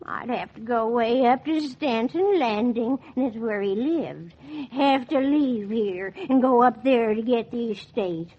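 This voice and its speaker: A defiant old hermit woman from the backwoods in a vintage radio play. Her voice is high and cackling, with slow, folksy, suspicious rambling. The recording is dull and lo-fi. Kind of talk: 1940s radio acting.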